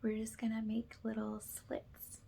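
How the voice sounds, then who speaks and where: A soft-spoken woman who often drops into a whisper as she gently guides an ASMR spiritual cleansing. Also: fairly high pitch, monotone, unhurried, gravelly, a little hiss or hum. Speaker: ASMR energy healer, host.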